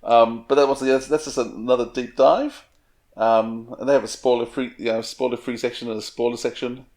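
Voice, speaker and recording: Male podcast co-host, medium pitch, casual conversational delivery. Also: steady tone, a little fast, darkish tone. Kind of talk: casual chat.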